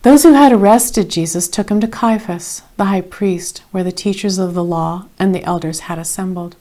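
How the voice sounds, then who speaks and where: A woman reading a scripted Bible story in a measured, dramatic way with expressive emphasis. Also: lowish voice. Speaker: reader of scripture.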